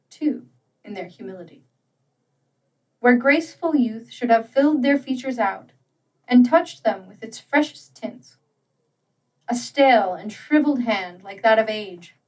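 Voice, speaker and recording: Slow, measured woman reading a classic story. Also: a little high, distant mic, poor audio. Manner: measured, deliberate phrasing. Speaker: audiobook narrator. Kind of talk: dramatic literary storybook reading.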